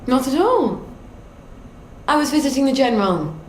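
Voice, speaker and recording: A glib, defiant British chemist, echoey. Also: female voice, sits high, very animated, normal speed. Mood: defensive. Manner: glib, self-justifying. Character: brisk, defiant young woman chemist. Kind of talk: video game character acting. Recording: roomy echo with background noise.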